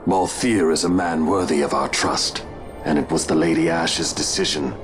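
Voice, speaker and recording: An actor playing a loyal veteran knight who speaks in a measured, formal, grave way, in a game cutscene. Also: male voice, very deep voice, steady tone, over music. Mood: solemn. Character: deep-voiced loyal veteran knight, solemn and steadfast. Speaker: knight escorting a princess. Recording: game cutscene with loud score.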